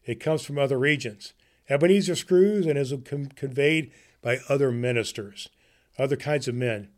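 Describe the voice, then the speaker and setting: A man's voice: medium pitch, moderate pace, a little mellow, close to an everyday voice. A man voicing a mournful ghost bound in chains, reading aloud from a storybook.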